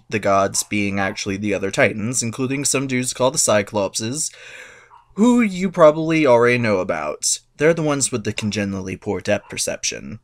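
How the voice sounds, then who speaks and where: Animated, sarcastic male storyteller. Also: mid-range voice. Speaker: narrator. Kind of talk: comic dramatic reading.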